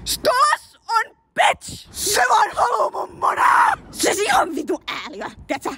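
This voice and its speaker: A woman voicing a foul-mouthed, hot-tempered male imp boss in an amateur fan dub, shouting and swearing on a home microphone. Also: very high-pitched, huge pitch swings, heavily acted. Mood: ranting.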